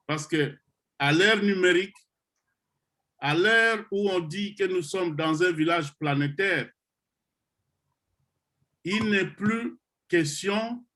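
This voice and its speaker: Middle-aged man, slightly high voice; unhurried, measured course leader. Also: bright, forceful tone. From Democratic Republic of the Congo. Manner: slow, deliberate, with pauses and hesitations. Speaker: project founder leading an online computer course. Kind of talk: motivational talk to trainees on a video call.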